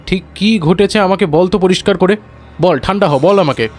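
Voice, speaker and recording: An actor playing a concerned friend, urgent and coaxing, in a dramatised audio story. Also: male voice, high-pitched, normal speed. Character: concerned male friend calming a terrified man.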